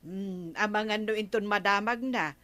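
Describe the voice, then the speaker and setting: A woman's voice: mid-range voice, moderate pace, bright, forceful tone, close to an everyday voice. A woman with an Ilocano accent playing a chatty village friend in a comedy radio drama.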